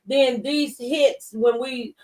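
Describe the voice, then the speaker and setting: A woman's voice: sits high, steady tone, takes it slowly, darkish tone, far from the mic, rough recording. A mature woman in a faith-based conversation, speaking earnestly and a little like a preacher, repeating phrases for emphasis. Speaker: talk show guest.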